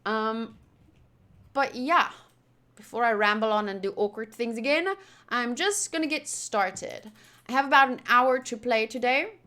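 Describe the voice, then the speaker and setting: A woman's voice: fairly high pitch, measured pace, sharp tone. A woman chatting casually on a livestream as she plays and reads along with a game, in a rambling, playful way. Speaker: streamer.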